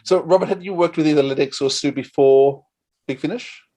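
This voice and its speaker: A man hosting a casual interview, asking questions in a halting way and rephrasing them as he goes. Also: a little high, mellow. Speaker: interviewer, host.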